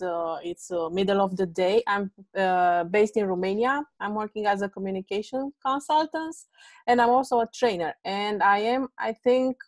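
A woman's voice: medium pitch, no room sound. Hesitant woman trainer on a call. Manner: hesitant, searching for words. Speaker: communication consultant and trainer. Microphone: video call.

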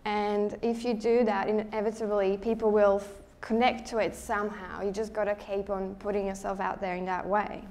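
A young woman from Slovakia with a Slovak accent, speaking as a guest presenter to a live audience in a lecture hall, friendly and relaxed, restarting sentences as she thinks. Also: mid-range voice, normal speed, few pauses. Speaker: guest speaker, underwater photographer. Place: lecture hall at a photo show.

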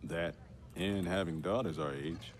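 A man's voice: low voice, measured pace, slight background noise. An actor playing a wry, laconic soldier and father in a video game. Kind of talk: video game cutscene acting.

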